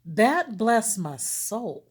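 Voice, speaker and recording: A woman author speaking candidly and informally to an audience, expressive and emphatic, repeating key words for effect. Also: on the high side, extremely slow, husky. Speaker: erotic romance author promoting their own book.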